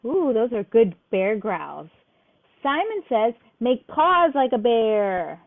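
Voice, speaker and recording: A woman's voice: fairly high pitch, very animated, slow. A woman leading a game for small children, playfully addressing them directly as she gives instructions. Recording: thin phone-like audio.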